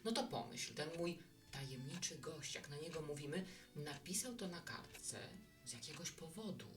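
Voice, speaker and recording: Woman playing a low-voiced, animated bar hostess. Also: normal speed, far from the mic, over music. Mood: reflective. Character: hospitable, reflective woman running a small bar. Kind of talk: Polish audio drama dialogue.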